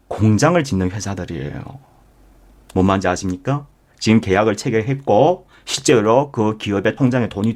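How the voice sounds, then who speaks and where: A man lecturing live as a stock investment instructor, emphatic, often addressing listeners directly with rhetorical questions. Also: medium pitch. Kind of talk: live market lecture with membership pitch.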